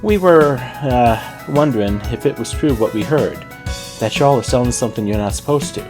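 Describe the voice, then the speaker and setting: Man reading as a nervous little filly, raised pitch. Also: moderate pace, music in the background, close to an everyday voice. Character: shy little country filly, designated speaker.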